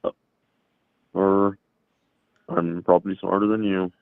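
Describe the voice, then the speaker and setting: Deep, gravelly man on a phone line. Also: little pitch movement, slow pace, no room sound. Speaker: caller debating in support of Trump. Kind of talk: casual argumentative chat. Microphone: phone or online call. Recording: narrow phone-like call audio.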